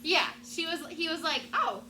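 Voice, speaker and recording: Teacher lady reading a storybook out loud, expressive, kinda high voice, clear background noise. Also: unhurried. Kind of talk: storybook read-aloud with class questions.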